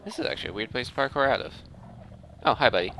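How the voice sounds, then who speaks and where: A man's voice: mid-range voice, sing-song, racing, gravelly, sharp tone, a little hiss or hum. A man giving casual gameplay commentary, thinking aloud and often interrupting himself mid-thought. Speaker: gaming video host.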